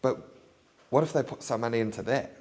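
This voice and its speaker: A New man hosting a relaxed interview at a middle pitch. He thinks out loud in long rambling turns and scatters "you know" and "bro" throughout. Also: quick pace. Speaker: podcast host. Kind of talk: casual interview chat.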